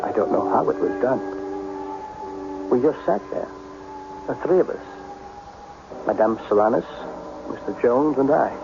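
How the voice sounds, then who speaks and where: An actor playing a frantic, practical businessman in an old-time radio drama, with an agitated, halting, intense delivery. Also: medium pitch, animated, vocal fry, music in the background. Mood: brooding, uneasy. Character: frantic, practical businessman, magically made younger. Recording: dull, noisy old radio recording.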